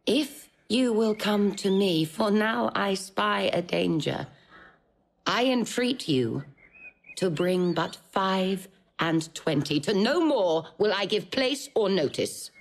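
An actress playing a cold, hard-hearted princess with an imperious manner in a Shakespearean audio drama. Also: female voice, medium pitch, lively intonation. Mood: cold refusal. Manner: measured, imperious.

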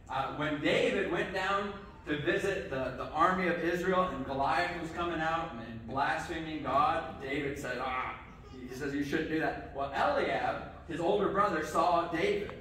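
Pastor preaching from across a church. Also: male voice, a little high, slight background noise. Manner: lively, asks the kids questions, says okay often. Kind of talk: children's sermon. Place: church sanctuary with congregation. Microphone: far camera. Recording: echoey church from far off.

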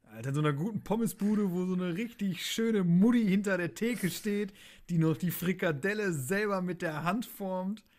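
Male podcast host, slightly high voice, colloquial, casual storytelling. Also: moderate pace, darkish tone. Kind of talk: casual chat.

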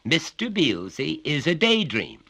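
A man's voice: on the high side, wildly swooping pitch, a little slow, gravelly, sharp tone, no room sound, voice pushed up, full character voice. A man voicing a pompous, overbearing middle-aged father who lectures in a condescending, menacing way. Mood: lecturing.

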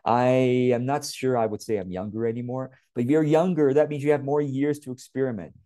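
A man's voice: medium pitch, steady tone, fairly quick, dark tone, dry recording, studio quality. A man in his late forties with a Californian accent, giving casual, conversational advice as a coach and talking directly to the listener with informal emphasis. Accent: Californian American. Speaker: business coach. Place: small indoor room at home. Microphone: wired earphone headset on a webcam.

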